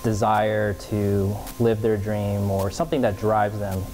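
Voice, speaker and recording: Army officer man, matter-of-fact interview delivery, slightly low pitch. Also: a little slow. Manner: steady, matter-of-fact with fillers. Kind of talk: documentary interview.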